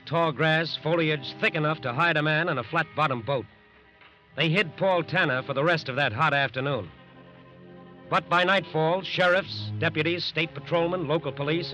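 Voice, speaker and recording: A dramatic old-time radio narrator, his voice slightly high, delivering measured, suspenseful scripted narration over prominent music. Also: pushed and bright, poor audio. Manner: measured, dramatic, rhetorical repetition.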